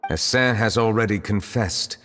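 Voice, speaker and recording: A very low-voiced, dignified man of authority as an actor plays him in a video game. He is formal, calm and measured, with music behind him. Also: lightly acted. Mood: calm, firm. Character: grave, courteous, composed male authority figure.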